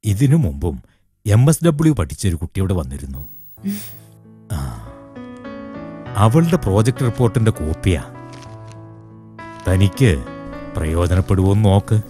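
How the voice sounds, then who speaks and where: Kindly deep-voiced priest. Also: male voice, very animated, measured pace, long pauses, faint music behind. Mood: explaining. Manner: gentle, reassuring, conversational. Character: kindly, unhurried male priest running a rehab centre. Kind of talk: film drama dialogue.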